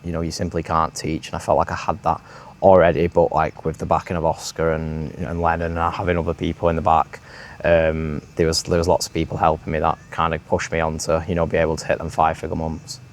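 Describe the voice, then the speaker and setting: A man's voice: a bass voice, completely flat delivery, quick pace, a little hiss or hum. A young man of nineteen, talking as an interview guest, hesitant and full of ums. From Manchester, England. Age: late teens. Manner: hesitant, lots of ums, laughs shyly. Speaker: interview guest, sales manager. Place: villa, indoors.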